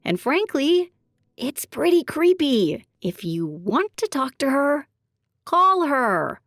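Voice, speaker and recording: A woman's voice: high-pitched, big pitch swings, takes it slowly, no room sound, clean studio sound, full character voice. A woman playing a sassy, blunt secretary to a private detective in a noir audio drama, sarcastic and frank.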